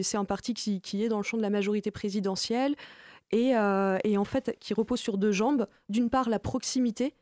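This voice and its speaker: A woman's voice: mid-range voice, dark tone, dry recording, studio quality. A woman of around thirty, a political candidate answering interview questions in a structured, didactic way. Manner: didactic, structured, enumerating points.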